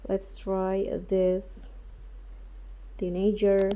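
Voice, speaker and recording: A woman narrates a step-by-step app tutorial in a soft-edged, mellow voice. She takes it slowly, at a medium pitch, halting between short phrases as she guides the viewer. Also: monotone. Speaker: tutorial host.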